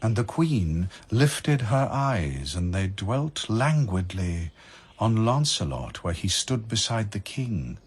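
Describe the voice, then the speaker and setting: A man narrating dramatic verse as a solemn, measured storyteller. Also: on the low side, very animated, slightly rough.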